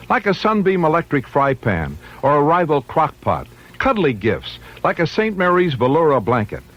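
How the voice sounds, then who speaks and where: A man's voice: a little high, fairly bright tone. A man reading a scripted advertisement as a vintage radio commercial announcer. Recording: dull vintage radio recording.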